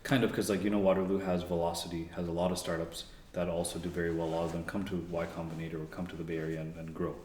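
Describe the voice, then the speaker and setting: Low flat-voiced young man, echoey room. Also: rapid-fire, few pauses, a little hiss or hum. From Canada. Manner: relaxed, rambling with frequent fillers and restarts. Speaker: guest, engineer. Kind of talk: casual interview conversation.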